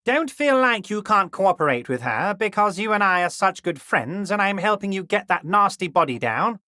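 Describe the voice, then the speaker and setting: A smug, corrupt union boss from a video game. He speaks with a very lively, swinging pitch in a close, dry recording. His manner is oily and ingratiating, all false friendship and sly insinuation. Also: moderate pace, dry recording, exaggerated voice. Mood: falsely magnanimous. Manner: ingratiating, oily, insinuating, repeatedly addressing listener as a pal. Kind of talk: video game character dialogue.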